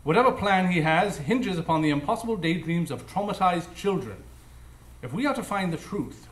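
An actor playing a brisk, analytical gentleman detective, formal and declamatory, in a live radio drama. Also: a little high, lightly acted. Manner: declamatory, formal, self-assured. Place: live stage before an audience. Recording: echoey live stage recording.

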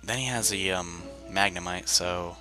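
A man's voice: deep voice, a little slow. A young man giving casual, rambling commentary while playing a video game, chatty and self-deprecating with plenty of fillers. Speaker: let's play commentator. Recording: game music behind voice.

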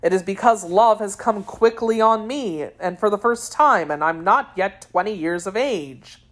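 A woman's voice: medium pitch, measured pace, pitched above the performer's own voice, natural voice. A woman voicing an ardent, persistent young gallant in an audiobook, with a courtly, earnest manner. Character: ardent, jealous, persistent gallant young man under twenty.

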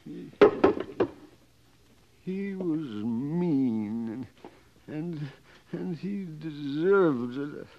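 An actor playing a drunk, distraught frontier judge who rambles and halts in an old radio western. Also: male voice, a little high, animated, highs cut off, exaggerated voice. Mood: broken, dazed. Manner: halting, rambling, repeats himself. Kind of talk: 1950s radio western acting.

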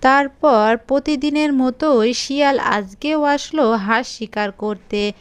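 A woman's voice: high voice, takes it slowly, smooth, no room sound. A woman reading a scripted children's fairy tale as the narrator of a cartoon story.

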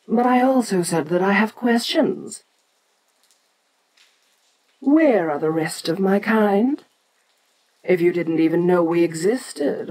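A woman playing an ancient, domineering giantess kaiju queen in an audio roleplay, imperious and teasing yet protective, speaking with deliberate pauses. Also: lowish voice, dark tone, breathy edge, full character voice, low-quality recording. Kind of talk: second-person audio roleplay acting.

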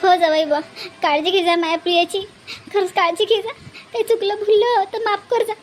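A woman acts out an anxious, humble cartoon mother giving away her daughter. Her pitched-up voice is extremely high, and she implores apologetically in a Varhadi Marathi accent. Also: steady tone, moderate pace, a little hiss or hum. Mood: worried, pleading. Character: worried, humble middle-aged mother of the bride. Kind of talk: homemade cartoon family drama.

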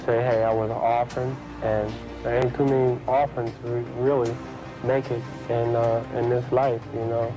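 A young man speaking earnestly and haltingly in an old post-fight interview. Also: medium pitch, little pitch movement, over music. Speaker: boxer interviewed. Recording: old distant archive interview clip.

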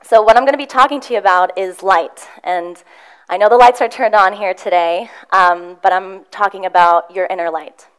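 A young woman from Switzerland tells her own story on a conference stage. She talks earnestly and with feeling, with a very smooth, clear voice, and she often pauses on ums and restarts as she gathers her thoughts. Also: mid-range voice, rough recording. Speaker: motivational speaker. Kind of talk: conference talk. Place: conference room with audience.

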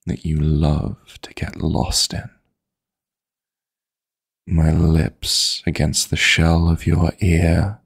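A man voicing a possessive, flirty yet tender dream demon lover in an intimate ASMR sleep-aid roleplay, coaxing in a husky, breathy voice with formal endearments. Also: sits low, exaggerated voice. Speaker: audio roleplay performer.

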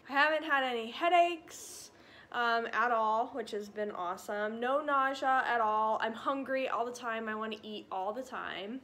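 A woman's voice: a little high, pushed and bright. A young woman in her twenties giving a casual pregnancy update with lots of fillers, a little short of breath, filmed in a living room. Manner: chatty, many fillers and likes. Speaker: vlogger. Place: living room by a stone fireplace.

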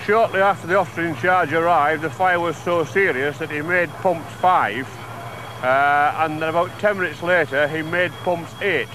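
Fire officer, man, formal clipped briefing, slightly high voice, loud street noise behind. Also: moderate pace. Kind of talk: on-scene news interview. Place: outdoors at a fire scene. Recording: noisy outdoor news footage.

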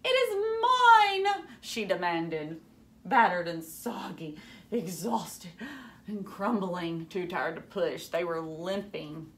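A woman in her thirties giving an animated, dramatic picture-book read-aloud with teacherly asides in a room at home. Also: lowish voice, slow.

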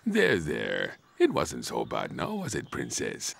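A man's voice: extremely low pitch, wildly swooping pitch, unhurried, creaky, very noisy, exaggerated voice. A man voicing a kindly, jolly, middle-aged gardener in a children's cartoon, folksy and reassuring. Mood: amused, reassuring.

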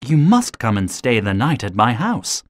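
A man playing a curious, good-natured middle-aged villager in an animated children's story, hearty and exclamatory. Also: mid-range voice, moderate pace, background noise, close to an everyday voice. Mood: hearty, welcoming.

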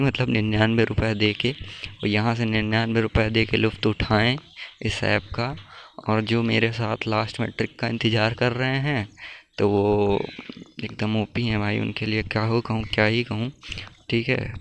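A man's voice: fairly low pitch, creaky, slight background noise. A young man giving a casual, informal app tutorial, talking to viewers like buddies. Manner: informal, addresses viewers as bro. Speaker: tech tutorial YouTuber.

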